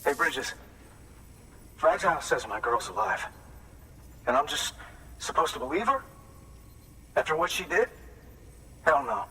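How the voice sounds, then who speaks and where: A grieving father in a video game, resentful and curt, who swears when he makes a point. His voice has a bright, forceful edge. The sound is set back from the mic with a faint hiss of noise behind it. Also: fairly high pitch, big pitch swings, measured pace, pauses often, distant mic, exaggerated voice, low-quality recording. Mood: gruff. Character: bitter grieving father, gruff and distrustful. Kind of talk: video game cutscene acting.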